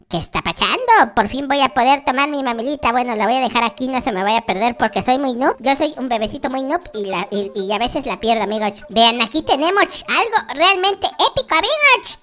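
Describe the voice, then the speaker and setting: Whiny baby boy, very high, swooping pitch, childish game roleplay chatter. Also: bright, forceful tone. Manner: childish diminutives, exclaiming, addresses viewers constantly. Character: whiny, silly, naive noob baby boy. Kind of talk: in-character Minecraft roleplay commentary.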